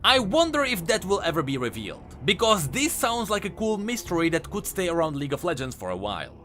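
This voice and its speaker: High-voiced, animated male explainer. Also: brisk, faint music behind. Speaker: video host, game lore commentator. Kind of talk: scripted explainer narration.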